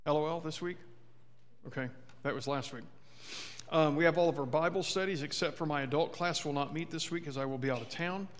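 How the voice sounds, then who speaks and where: A man with a fairly high voice reads out the church announcements, casual and unhurried, stopping for fillers and quick asides to the congregation. The long reverb of a church sanctuary hangs on every phrase.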